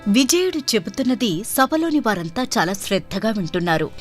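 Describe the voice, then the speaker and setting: A woman narrating a scripted folk tale in an expressive storybook style. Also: medium pitch, moderate pace, faint music behind.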